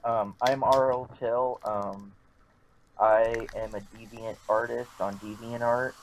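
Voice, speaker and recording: A man's voice: medium pitch, steady tone, slow pace, poor audio. A man chatting casually as a player in an online tabletop game over a voice call. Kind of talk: casual chat. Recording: faint background noise on voice call.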